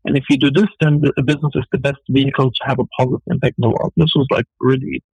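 A man's voice: medium pitch, steady tone, creaky, a little mellow, husky, no echo, low-quality recording. A man with a German accent, a business guest on a radio talk show, answering in an earnest, filler-filled way. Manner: earnest, fast, full of fillers. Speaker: entrepreneur guest.

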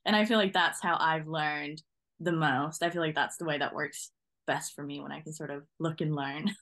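A woman's voice: low-pitched, fairly even intonation, normal speed, fairly bright tone. Young Norwegian woman chatting, laughing often. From Norway. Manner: friendly, laughs often while talking. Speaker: newly qualified driving instructor, guest. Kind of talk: casual interview chat.

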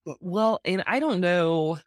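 A man co-hosting a podcast in casual chat, excitable and full of fillers, his voice jumping up when he gets outraged. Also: high-pitched, a little slow, no room sound, clean studio sound. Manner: many fillers and restarts. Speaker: podcast co-host. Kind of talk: casual chat about a TV episode.

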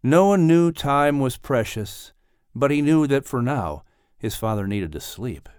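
A man's voice: medium pitch, lively intonation, a little slow, a little mellow, clear, resonant tone, dry recording, clean studio sound. A man narrating an audiobook and announcing a podcast, in a storytelling announcer style.

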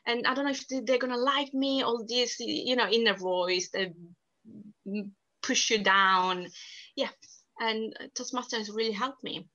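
A woman's voice: a little high, fairly even intonation, unhurried, sharp tone, highs cut off. A woman from Sicily with a strong Italian accent, talking earnestly and emphatically as a podcast guest, often restarting her sentences. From Sicily, Italy. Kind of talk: casual interview talk.

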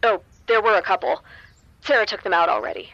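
An upbeat, flippant young teammate in a fiction podcast. His voice is high for a man, with a breezy, casual manner. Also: a little fast, pushed and bright, highs cut off. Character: upbeat, flippant young team member in the field. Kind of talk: naturalistic fiction podcast acting.

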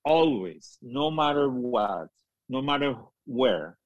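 A middle-aged man whose first language is not English tells a story about his music career in a casual interview. He is animated and laughs easily, and he slips into the voices of the people he quotes. His voice sits at an ordinary pitch and is recorded close and dry. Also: slow, no room sound, dull audio. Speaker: guest musician, keyboard player.

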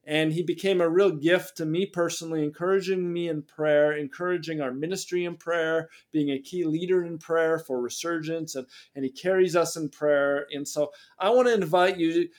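A man with a somewhat high voice hosts a podcast, chatty and friendly. He often stumbles and doubles back on his words as he asks questions. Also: moderate pace, a little mellow. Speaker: podcast host. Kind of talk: podcast interview.

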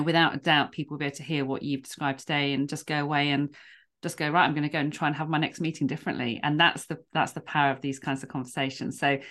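A woman's voice: sits low, fairly bright tone. A woman hosting a podcast, chatting with a guest in an effusive, encouraging way. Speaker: podcast host. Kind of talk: conversational interview.

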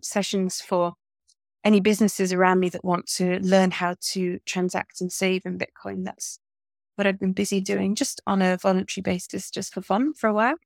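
A woman's voice: mid-range voice, vocal fry, no room sound, clean studio sound. A middle-aged woman from England, a guest in a podcast interview, talking in a chatty, thoughtful way with many fillers and restarts.

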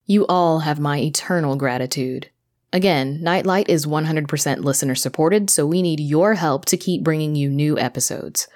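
A woman's voice: low voice, normal speed, darkish tone. A woman podcast host and producer delivering a scripted introduction to her show.

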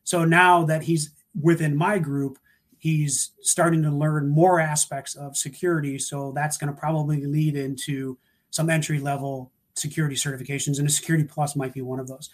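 A man talking through career advice in a relaxed, thoughtful way, with frequent restarts and fillers. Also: fairly high pitch, normal speed. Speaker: guest, security practitioner. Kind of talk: casual panel talk.

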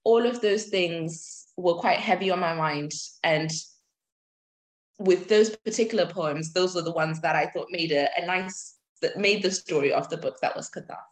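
A woman's voice: lowish voice, moderate pace. Reflective woman in her twenties. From Zimbabwe. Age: 20s. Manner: thoughtful, reflective, with fillers. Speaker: podcast guest, doctor. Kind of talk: conversational interview answers.

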